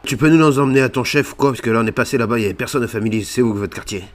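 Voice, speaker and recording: A man's voice: medium pitch, rapid-fire. A man improvising game roleplay banter in a cheeky, swearing, provocative way on a gaming microphone. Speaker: video creator playing a prankster in online roleplay.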